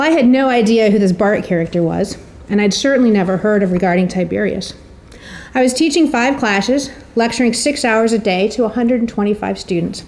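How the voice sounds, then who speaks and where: A middle-aged woman with a soft, mellow tone and an average pitch for a woman. She delivers a prepared introduction as a storyteller would, with careful articulation and a wry, theatrical touch. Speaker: presenter introducing an author.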